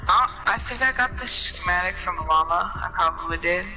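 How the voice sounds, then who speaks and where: A teenage girl chatting while she plays, often puzzled and distracted, with a run of quick exclamations. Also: on the low side, bright, forceful tone, rough recording. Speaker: gamer on voice chat. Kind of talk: casual game chat. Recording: game music playing clearly behind. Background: game music.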